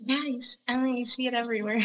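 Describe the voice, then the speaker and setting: High, bright young-sounding casual woman guest. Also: fairly even intonation, fast, rough recording. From born in Laredo, Texas, raised between there and Central America. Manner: informal, heavy use of "like". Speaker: interview guest, homelessness activist. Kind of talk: casual chat.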